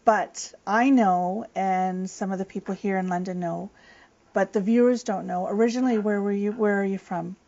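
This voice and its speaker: A woman's voice: mid-range voice, steady tone, moderate pace, dark tone. A woman co-hosting an interview show, asking questions in a polite, slightly scripted way. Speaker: co-host. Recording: muffled lo-fi recording.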